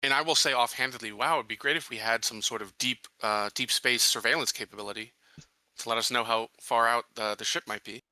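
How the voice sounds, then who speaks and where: A man with a very bright, sharp-edged voice at a medium pitch talks through a tabletop roleplay. He is matter-of-fact and often checks for agreement. The sound is close and dry. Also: steady tone, brisk, dry recording, poor audio. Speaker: tabletop roleplaying game participant. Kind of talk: casual in-character game discussion.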